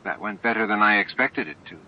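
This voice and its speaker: A man voicing a gentle, supportive female insect-like alien in a dramatized audiobook, speaking with calm reassurance. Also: fairly low pitch, brisk, close to an everyday voice. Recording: dull lo-fi audiobook tape with music.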